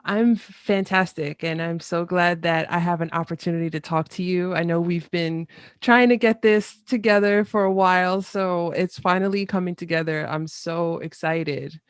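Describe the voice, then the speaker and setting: A woman's voice: lowish voice, steady tone, moderate pace, clear, resonant tone, no room sound, studio quality. A woman hosting a podcast, interviewing a guest in an enthusiastic, encouraging way. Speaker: podcast host.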